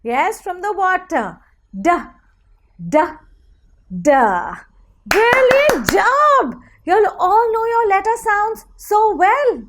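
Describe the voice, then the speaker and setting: Woman, phonics teacher, very high pitch, very slow careful delivery, encouraging, question-and-praise style for small children. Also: noisy background. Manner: animated, encouraging, deliberate enunciation with repeated call-and-response questions.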